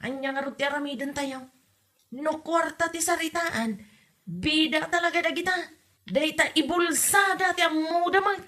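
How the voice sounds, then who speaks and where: Casting: gossipy, suspicious villager, played by a woman, very high piercing bright voice, Ilocano accent, comedy drama. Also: normal speed.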